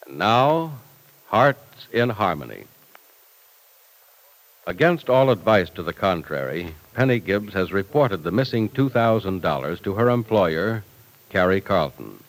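A man narrating an old-time radio serial as its announcer, in a dramatic, measured delivery. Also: low voice, sing-song, rough recording.

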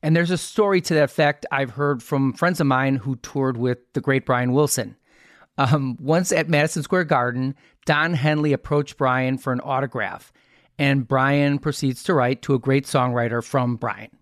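A man hosting a podcast, telling an anecdote and setting up questions in a measured, articulate, conversational way. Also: medium pitch, fairly even intonation, no room sound, studio quality. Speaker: podcast host.

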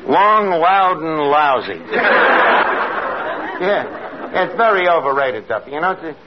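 High-pitched brash tavern wiseguy, old radio. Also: male voice, sing-song, measured pace. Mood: wisecracking. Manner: streetwise, malapropisms, wisecracking. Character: slangy, word-mangling, brash male tavern manager. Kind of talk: 1950s radio comedy acting before a live audience. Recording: old dull radio recording, loud hiss, audience.

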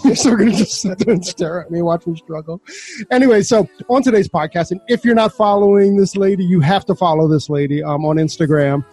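A man's voice: high voice, a little fast, dark tone. A man co-hosting a podcast, laid-back and joking, with lots of fillers. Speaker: podcast co-host. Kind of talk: casual podcast chat.

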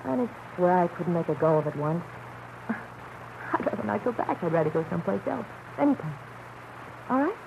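A woman's voice: fairly low pitch, a little fast, vocal fry, long pauses, lo-fi sound. An actress playing a playful young woman artist, a detective's teasing sweetheart, in an old-time radio drama with an American accent. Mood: uneasy, wistful. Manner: teasing, expressive. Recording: noisy vintage radio recording.

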